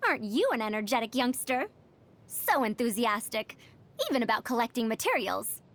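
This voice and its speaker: A woman voicing a knowledgeable, slightly pompous female inventor in a video game, with a kindly elder's mock-stern, expressive manner. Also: fairly high pitch, moderate pace. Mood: patronising. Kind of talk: video game character voice lines.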